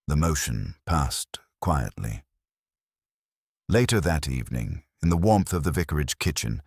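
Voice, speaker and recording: Really deep male narrator reading a mystery story, measured, lots of rise and fall, dry studio sound. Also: no room sound.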